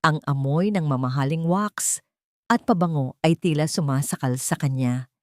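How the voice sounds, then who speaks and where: Narrator for a melodrama: woman, lowish voice, scripted dramatic delivery, very dry recording. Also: moderate pace, dry recording.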